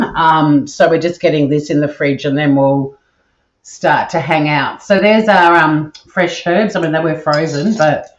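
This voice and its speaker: A woman's voice: sits low, noisy background. A woman with an Australian accent and a nasal voice, chatting casually to viewers while she cooks in her home kitchen. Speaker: cooking stream host. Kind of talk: casual live cooking chat. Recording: dull audio with kitchen clatter.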